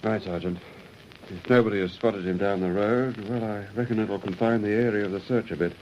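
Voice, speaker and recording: An actor playing a polite, dutiful junior police officer in a radio drama. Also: male voice, fairly low pitch, fairly even intonation, a little fast, lightly acted. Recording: muffled old radio recording, faint noise.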